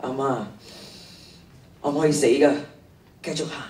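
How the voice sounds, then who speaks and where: A man's voice: medium pitch, fairly even intonation, normal speed, darkish tone, lightly acted. A teenage boy with a Hong Kong Cantonese accent playing a troubled schoolboy hiker in a radio drama. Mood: earnest. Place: stage of a large hall. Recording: echoey stage, distant mic, faint noise.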